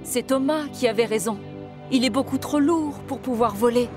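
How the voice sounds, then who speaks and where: A woman narrating a cartoon story in a clear, measured storytelling manner. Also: on the high side, over music.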